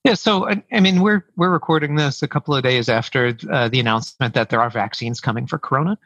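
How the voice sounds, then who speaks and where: A man's voice: medium pitch, fast talker, a bit bright, no room sound, highs cut off. A man, head of sales, answering interview questions in a casual, hesitant way with many fillers and restarts. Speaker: head of sales, podcast guest. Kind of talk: conversational interview.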